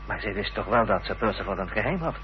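Earnest gentleman, played by an actor, expressive pitch. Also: fast, close to an everyday voice. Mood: probing, grave. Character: earnest, probing gentleman seeking the truth. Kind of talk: radio drama acting. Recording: muffled, noisy old radio recording.

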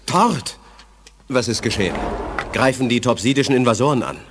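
Actor as an earnest, composed space officer. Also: male voice, mid-range voice, animated, background noise, close to an everyday voice. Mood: concerned, firm. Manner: earnest, measured, respectful. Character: composed male telepath officer, loyal and thoughtful. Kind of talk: 1980s German radio play acting.

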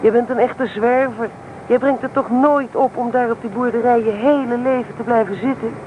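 An actress as a high-voiced, headstrong young woman. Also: steady tone, normal speed, narrow, tinny audio, lightly acted. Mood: insistent. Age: young adult. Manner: teasing, blunt, assertive. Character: headstrong, teasing young woman student, self-assured daughter of businessman. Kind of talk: radio play acting, naturalistic dialogue. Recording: noisy old radio play recording.

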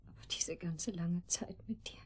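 A woman playing a betrayed, pleading yet defiant lover in a radio drama, with breathy, emotional, fragmented delivery. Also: lowish voice, moderate pace, a little mellow, in a whisper. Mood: wistful, bitter. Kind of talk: German radio drama acting.

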